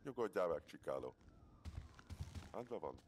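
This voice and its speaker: A man's voice: deep voice, big pitch swings, a little mellow. A man chatting casually in character in an online role-play game. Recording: noisy game voice-chat audio.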